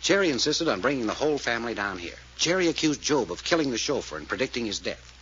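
An actor in a 1940s radio serial playing a shrewd, determined detective leader, with an American accent and a clipped, deliberate delivery. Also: male voice, medium pitch, sing-song, a bit bright. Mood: deliberate, suspicious. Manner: clipped, deliberate, matter-of-fact. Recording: muffled, noisy old radio recording.

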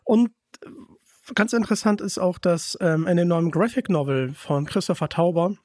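A man's voice: high voice, lively intonation, moderate pace, darkish tone, dry recording, clean studio sound. A man hosting a podcast, chatting casually as he interviews a guest. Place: kitchen.

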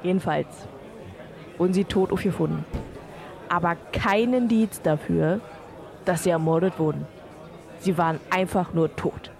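A woman playing a sceptical, no-nonsense pub keeper, brisk and dismissive, in an amateur audio drama. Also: on the low side, gravelly, darkish tone, a faint crowd. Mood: exasperated.

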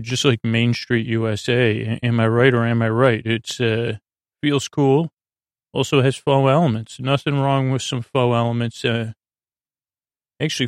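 Male sleep podcast host: gravelly, very even pitch, lulling, hesitant and meandering delivery. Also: no room sound, studio quality. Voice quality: creaky. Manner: meandering, halting, trails off into digressions; lulling, soothing. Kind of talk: rambling bedtime monologue to put listeners to sleep.

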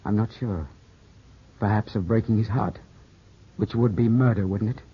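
An actor in a 1950s radio drama playing an earnest, brooding young gentleman, formal and intense in delivery. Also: lowish voice, moderate pace, husky. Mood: accusing. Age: young adult. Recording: dull, muffled old radio recording.

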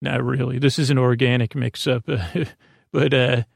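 Creaky-voiced man rambling soothingly. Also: mid-range voice, steady tone, measured pace, dry recording, clean studio sound. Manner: meandering, hesitant, self-interrupting; soothing, gentle, soft-spoken. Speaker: podcast host. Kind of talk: rambling bedtime podcast monologue.

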